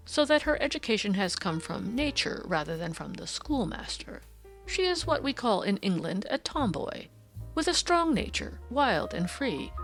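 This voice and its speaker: Woman voicing a haughty, pompous English nobleman, raised pitch. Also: a bit bright, low-quality recording. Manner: haughty, stately, wordy. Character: pompous, petulant, foppish aristocratic English gentleman, prematurely aged. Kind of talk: audiobook character dialogue.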